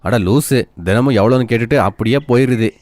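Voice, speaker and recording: A man's voice: mid-range voice, a little fast, a little mellow, no room sound, close to an everyday voice. A man playing a plain-spoken, grumbling street vegetable seller in a cartoon story, calling out wares and prices.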